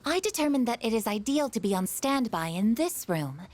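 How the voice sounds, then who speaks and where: A woman's voice: fairly high pitch, big pitch swings, normal speed, a little mellow, soft background music. A woman voicing an obedient, literal-minded android girl in a video game, with formal, precise and stilted phrasing. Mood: calm, dutiful.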